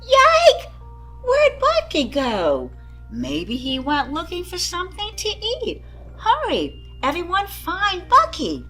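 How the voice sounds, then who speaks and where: Excited young schoolchildren played by a woman clamor in a storybook scene. The voice is high, sharp and very animated, exclaiming and pestering over faint music. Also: slow pace, heavily acted. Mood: alarmed.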